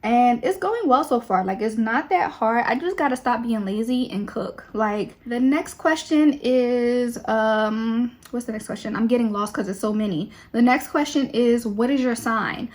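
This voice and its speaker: A young woman talks to her viewers in a loose, relaxed way. Her voice sits a little on the high side. She fills gaps with easy fillers as she chats while doing her makeup. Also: normal speed, hardly any pauses. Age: 20s. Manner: chatty and relaxed, with many fillers. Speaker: beauty vlogger.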